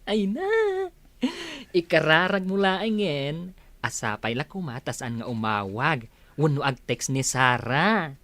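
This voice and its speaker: A woman's voice: deep voice, animated, measured pace, a bit bright, no echo. A woman playing a nosy, casual friend and workmate in an Ilocano radio drama. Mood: teasing.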